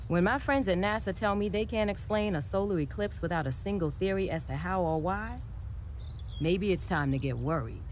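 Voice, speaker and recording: An actress playing a sensible, skeptical but caring science teacher in a video game, speaking in a measured, matter-of-fact way. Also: female voice, medium pitch, a little mellow, slight background noise, natural voice. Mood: concerned, matter-of-fact. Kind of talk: video game dialogue acting.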